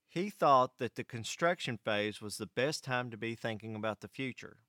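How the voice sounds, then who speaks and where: An American man telling a first-person story to an audience in measured, short phrases, as a vlog host. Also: medium pitch, fairly bright tone, smooth, no echo, studio quality. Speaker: vlog host and electric cooperative employee.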